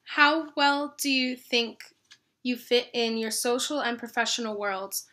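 Female interviewer, crisp bright tone on the higher side, reading set questions. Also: measured pace.

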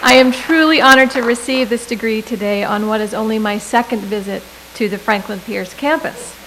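A middle-aged woman delivering a prepared commencement speech at a podium microphone, with measured delivery and deliberate emphasis. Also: fairly high pitch, fairly bright tone, slight background noise, rough recording. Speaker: commencement speaker.

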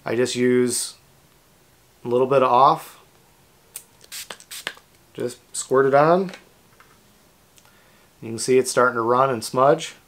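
A man's voice: medium pitch, fairly bright tone. A man in his thirties with a North American accent, hosting a product demonstration in a plain-spoken, informal, explanatory way. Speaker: host of a kayak fishing channel. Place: small indoor workshop room.

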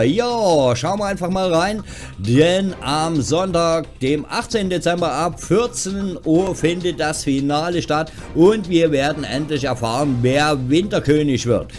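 A man's voice: on the high side, measured pace, darkish tone. A man giving casual, tongue-in-cheek gaming news in a chatty, wry, informal way. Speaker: host of a gaming news show. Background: background music.

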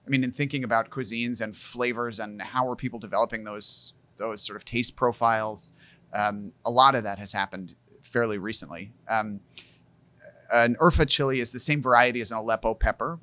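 A man in his thirties, a guest from a spice company, explaining in a relaxed chat with many ums and restarts, on a studio mic at an indoor farm. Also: medium pitch, no room sound. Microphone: studio mic on boom arm.

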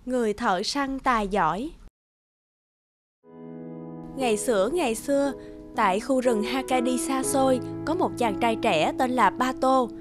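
Fairy-tale narrator: woman, high-pitched, scripted storytelling over clear background music. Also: measured pace, long pauses.

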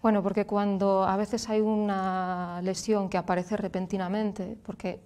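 A woman in her thirties with a Galician Spanish accent, a speech therapist explaining clearly and patiently as a guest in a TV studio. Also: medium pitch, little pitch movement, unhurried, darkish tone. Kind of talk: explanatory interview answers.

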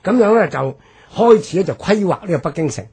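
A man with a Hong Kong Cantonese accent, explaining history as a radio presenter with drawn-out phrase endings. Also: sits high, lively intonation, a little mellow, breathy edge.

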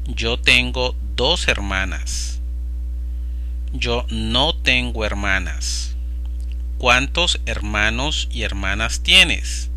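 Slow, bright-voiced male Spanish tutor. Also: medium pitch. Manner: slow, carefully enunciated phrase by phrase. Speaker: Spanish teacher. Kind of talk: slow read-aloud vocabulary drill. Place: voice-over over slides.

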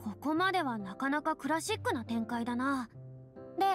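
Anime game stage diva: high, self-assured, theatrical, boyish lofty phrasing, music behind. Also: female voice, animated, normal speed. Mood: musing, lofty. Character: theatrical, self-assured young female former stage star. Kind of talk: Japanese anime game voice acting.

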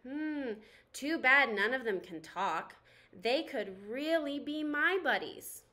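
A woman puts on a friendly little homemade spork toy for a storybook. The voice is high and bright, eager and innocently earnest. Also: animated, slow pace, full character voice. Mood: wistful.

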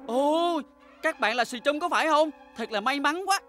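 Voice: high voice, normal speed, sharp tone, faint music behind. An actor playing an earnest, kindly young boy prince in a Vietnamese cartoon dub, pleading and sincere. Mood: concerned.

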